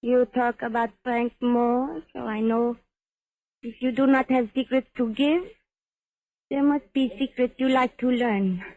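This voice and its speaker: A woman playing a sultry, guarded nightclub femme fatale in a 1950s radio drama, speaking measured, stilted, foreign-sounding English. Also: fairly high pitch, steady tone, a little mellow, no echo, rough recording.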